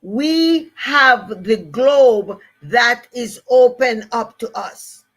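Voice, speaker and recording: An older woman with a Jamaican accent speaks slowly and deliberately in a motivational talk. She stresses and repeats her main phrases. Her voice sits a little high. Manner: emphatic, repeats key phrases. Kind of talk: motivational live-stream talk.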